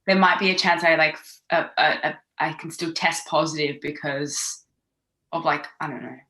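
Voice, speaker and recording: A woman's voice: sits low, steady tone, normal speed, bright, forceful tone. A woman in her thirties from Australia, a podcast guest chatting casually with lots of fillers and restarts. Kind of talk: casual chat. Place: home in London.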